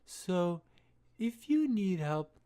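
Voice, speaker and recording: A woman voicing a male huckster pitchman in a mock radio advert, delivering a slow, cheesy sales pitch. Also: sing-song, warm tone, full character voice. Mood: salesy. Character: male huckster ad pitchman for a board game school.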